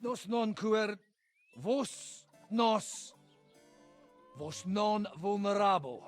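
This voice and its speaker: An actor playing a crude, hot-tempered, hard-drinking medieval man in a video game cutscene, halting and foul-mouthed. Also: high-pitched, heavily acted.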